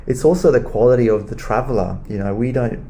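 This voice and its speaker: A middle-aged man with an Australian accent, answering interview questions in a relaxed, conversational way with frequent fillers. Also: mid-range voice, quick pace, vocal fry, muffled recording, a little hiss or hum. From Australia and Italy. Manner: relaxed, many fillers and restarts. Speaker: interview guest, tourism board manager.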